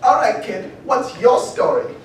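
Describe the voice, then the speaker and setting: A woman's voice: fairly high pitch, big pitch swings, measured pace. Echoey, exasperated schoolteacher played by a woman. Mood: weary, exasperated. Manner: coaxing, wearily exasperated. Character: exasperated, patient female teacher of young superhero children. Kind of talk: live read of a comedic script. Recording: echoey panel room, distant mic, faint noise.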